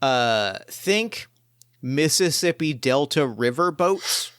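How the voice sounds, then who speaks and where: A man's voice: on the high side, lively intonation, slow, fairly bright tone, clear, resonant tone, no echo. Clean, dry-sounding enthusiastic man. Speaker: game master and podcast host. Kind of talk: casual game narration and chat.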